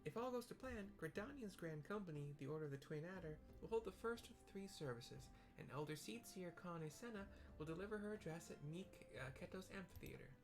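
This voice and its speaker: A man's voice: high voice, lively intonation, a little fast, hardly any pauses, over music, pitched above the performer's own voice. A man voicing a small, cheerful young woman helper in a video game, chatty and eager, read aloud from on-screen dialogue. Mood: chirpy.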